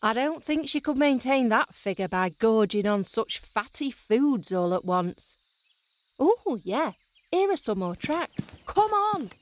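Woman playing a high-voiced snippy adventurer. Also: sing-song, measured pace, no room sound. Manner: exclamatory, dismissive. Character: snippy, frenetic female tracker on a quest.

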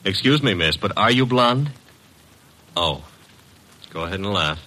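A man's voice: low voice, animated, a little slow, sharp tone, exaggerated voice. A man acting a cheeky young detective's assistant in a vintage radio drama, talking in a wry, snappy way on a noisy old recording. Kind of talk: 1950s radio detective acting and first-person narration.

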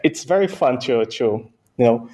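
A man from Brazil whose first language is Portuguese, answering interview questions about software in a hesitant way, often restarting and laughing softly. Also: mid-range voice, lively intonation, moderate pace. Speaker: software engineer guest.

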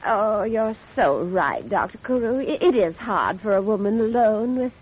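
A woman's voice: mid-range voice, animated, normal speed, breathy edge. An actress playing a gushing, coquettish mature society woman in a 1940s radio drama. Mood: coy, gushing. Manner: gushing, fluttery. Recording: dull, hissy vintage radio recording.

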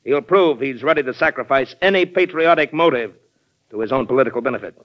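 A muffled, lo-fi vintage radio recording carries a proud American colonel played by a man. He is forceful and ambitious, speaking at a middling pitch in the firm manner of old broadcast drama. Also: fast talker, close to an everyday voice. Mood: proud, defiant.

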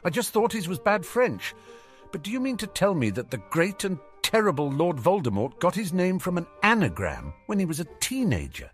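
A man voicing a cocky, mocking teenage boy wizard who talks quickly and showily. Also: high-pitched, huge pitch swings, soft background music, pitched above the performer's own voice. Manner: sneering, quick, showy.

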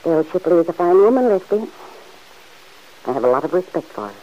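A woman playing a kindly middle-aged farm aunt who gently chides the man in her home, in 1940s radio acting. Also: on the low side, big pitch swings. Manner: gently chiding, motherly, quick to laugh. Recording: dull old radio recording.

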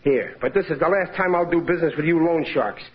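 An actor playing a harried working-class husband who is always broke, grumbling and exasperated in 1940s radio acting, on a muffled old broadcast. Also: male voice, fairly high pitch, quick pace, lightly acted.

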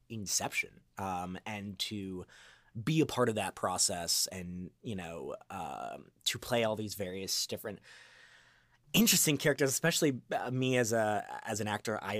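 A man with a low voice talks casually as an interview guest on a podcast. He rambles and circles back through his thoughts. The sound is dry and close, with little room around him. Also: no echo.

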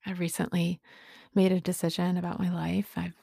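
Woman, late 40s, podcast monologue, breathy and husky, subdued intonation, halting phrasing, dry close sound. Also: lowish voice, completely flat delivery, dark tone, no room sound. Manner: halting, pausing between short phrases. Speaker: podcast host speaking on sobriety. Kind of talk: reflective personal monologue.

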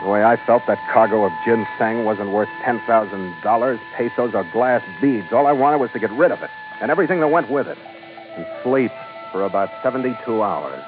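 A man's voice: fairly low pitch, brisk, close to an everyday voice. An American man playing a weary, sardonic ship master in a 1940s radio adventure, with dry, clipped, hard-boiled delivery. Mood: weary, brooding. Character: weary, sardonic male ship master narrating his voyage log. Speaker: narrator and lead actor. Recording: muffled vintage radio broadcast, loud music.

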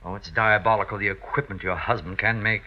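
A man's voice: lowish voice, fairly even intonation, quick pace, natural voice. An actor playing a fawning, scheming male servant in a 1950s radio drama, obsequious, hesitant and theatrical. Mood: sly, conspiratorial. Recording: dull vintage radio recording.

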